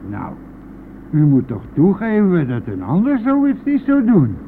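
An actor playing a brazen, evasive bus driver in a radio play, blustering and dismissive, then wheedling, with a gravelly voice. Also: male voice, high voice, wildly swooping pitch, a little slow, exaggerated voice. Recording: noisy phone-like old radio play recording.

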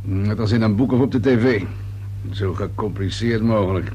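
An actor playing a wry, methodical police inspector in a radio drama, politely probing in a musing, unhurried way. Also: male voice, on the low side, husky. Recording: hum and steady noise behind voice.

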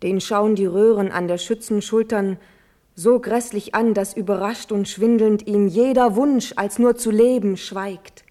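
A woman's voice: medium pitch, fairly even intonation, a little slow, dark tone, lightly acted. An actress playing a pleading young noblewoman, a devoted niece, in a classical verse radio drama with solemn, formal delivery. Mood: bitter sorrow. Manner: solemn, impassioned, formal declamation.

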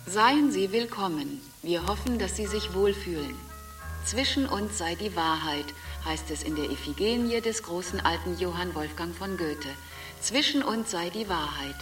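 An actress playing a solemn, formal official who welcomes guests in a ceremonious way and quotes old sayings. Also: female voice, on the low side, animated, moderate pace, lightly acted. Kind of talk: radio drama acting. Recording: distant, with music under the voice.

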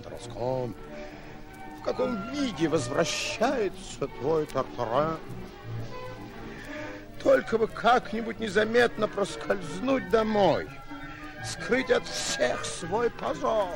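A pompous, boastful provincial hero in an old 1940s radio play with music behind. The voice is high and swoops wildly, full of exclamations and theatrical pauses. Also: male voice, heavily acted. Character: boastful, theatrical male provincial hero and would-be lion hunter.

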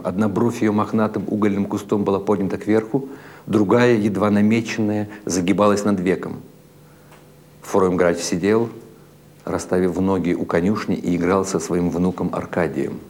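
A man narrating literary short stories as a dramatic audiobook, in a measured, deliberate way with expressive phrasing. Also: fairly low pitch. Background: music behind the reading.

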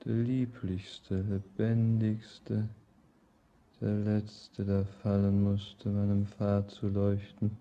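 A man's voice: lowish voice, monotone, very slow, warm tone. An actor playing a yearning, world-weary man bidding farewell to life in a solemn, declamatory verse monologue for German radio drama. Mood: solemn longing. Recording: muffled old radio recording, faint noise.

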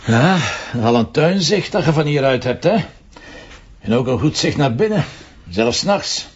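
A sardonic Flemish police commissioner, with a husky voice. He talks bluntly and drily. The sound is muffled and lo-fi, like an old TV soundtrack. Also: mid-range voice, big pitch swings, moderate pace. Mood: investigating. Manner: dry, sardonic remarks. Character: blunt, wry middle-aged male police commissioner. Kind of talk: TV crime drama acting. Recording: dull lo-fi TV soundtrack.

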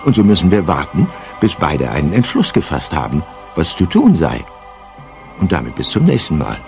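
A man playing the wry storytelling narrator of a science-fiction radio play, measured and storybook-like. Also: extremely low pitch, huge pitch swings, vocal fry, darkish tone, full character voice. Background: music.

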